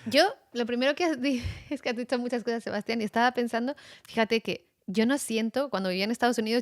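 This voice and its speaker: Casting: a woman, Spaniard, chatty guest, mid pitch, dry close mic. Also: no echo. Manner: animated, laughs often, mimics accents. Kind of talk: casual conversation.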